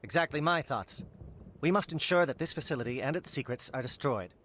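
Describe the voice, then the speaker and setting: A man's voice: fairly high pitch, lively intonation, fairly quick, a bit bright, a little hiss or hum. An actor playing a pragmatic male alien military captain in a video game, speaking in a precise, formal manner. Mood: urgent.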